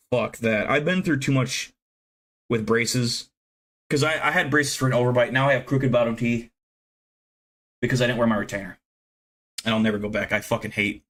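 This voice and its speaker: A man's voice: medium pitch, fairly bright tone. A man in his thirties chatting casually with viewers on a live stream, rambling from one thing to the next and swearing freely. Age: 30s. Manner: rambling, profane, self-deprecating.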